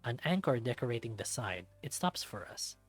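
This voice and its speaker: A man reads a visual novel aloud in an expressive narrating style. His mid-pitched voice moves in a lively way, with soft music playing behind it. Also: normal speed.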